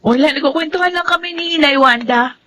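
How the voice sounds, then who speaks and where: An actress playing a young, outspoken Filipino daughter in a radio drama, exclamatory and full of fretful questions. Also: female voice, high-pitched, quick pace, sharp tone. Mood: gossipy, disapproving. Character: young outspoken daughter, fretful about her older brother. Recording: faint background noise.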